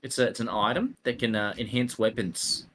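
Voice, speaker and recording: A man playing a tabletop roleplay game, speaking casually and haltingly with repeated restarts. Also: medium pitch, a bit bright.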